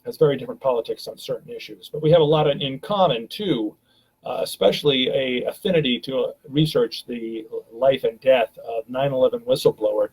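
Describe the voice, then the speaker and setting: A man's voice: medium pitch, darkish tone, husky, low-quality recording. A man hosting a live stream, talking casually and rambling with many fillers and restarts, from a home webcam.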